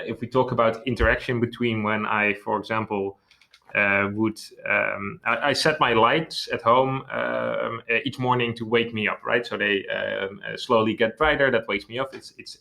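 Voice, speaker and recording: A man's voice: on the low side, gravelly, sharp tone. A man, speaking English as a second language, interviewing a guest and hesitating as he searches for words. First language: not English. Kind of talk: interview questions.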